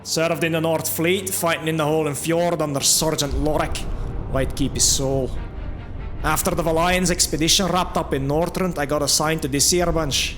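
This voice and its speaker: A man voicing a gruff, blunt but good-humored dwarf combat trainer in a fantasy audio drama, with a brash, teasing manner. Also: a little high, fairly even intonation, moderate pace, fairly bright tone, soft background music, voice pushed up, lightly acted. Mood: hearty, brisk. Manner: brash, teasing, hearty exclamations.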